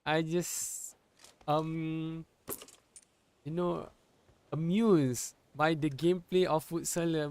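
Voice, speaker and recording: Male Malaysian esports caster, unhurried, hesitant phrasing, gets louder in fights. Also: vocal fry. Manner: halting, with frequent restarts and fillers; rises in pitch and volume at fights. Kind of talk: live game commentary.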